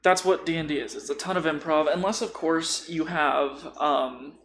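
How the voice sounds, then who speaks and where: A man's voice: on the high side, steady tone, moderate pace, fairly bright tone, a little hiss or hum. A man in casual podcast conversation, explaining tabletop role-playing in a blunt, joking way. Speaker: podcast speaker.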